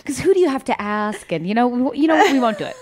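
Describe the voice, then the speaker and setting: A woman from Canada with a Canadian accent, co-hosting a podcast in casual, animated chat. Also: on the high side, quick pace. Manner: chatty, animated storyteller who laughs often. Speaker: podcast co-host.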